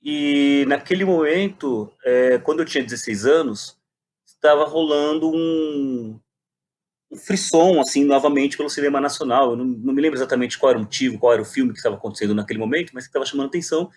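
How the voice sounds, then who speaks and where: A man's voice: mid-range voice, fast, darkish tone. A man, telling his story reflectively and animatedly in a conversational interview. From Brazil. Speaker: guest, playwright and poet.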